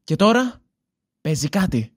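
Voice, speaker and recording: Man as an animated, nosy young buddy. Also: high voice, breathy edge, full character voice. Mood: curious, cheerful. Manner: casual, prying, encouraging. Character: nosy, upbeat young man, lovestruck and encouraging. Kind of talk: conversational audio drama acting.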